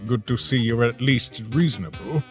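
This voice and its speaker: A man's voice: mid-range voice, animated, normal speed, music in the background, close to an everyday voice. A man voicing a stern, pompous skeleton disciplinarian with formal speech in a comic dub. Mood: haughty. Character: stern, haughty skeleton commander, scolding disciplinarian.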